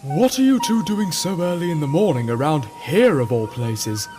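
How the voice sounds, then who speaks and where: A mild cartoon steam engine, played by a man, rather high and very expressive. He is proper, dutiful and a little hesitant, with music clearly behind him. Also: normal speed. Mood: puzzled.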